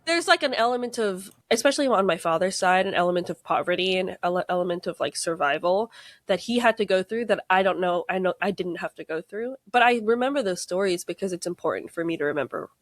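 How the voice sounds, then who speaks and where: A woman's voice: fairly low pitch, expressive, fast talker, no echo. A woman with an American accent, a game co-creator chatting casually in an interview, rambling with many fillers and frequent laughs. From United States. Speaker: tabletop game co-creator, guest.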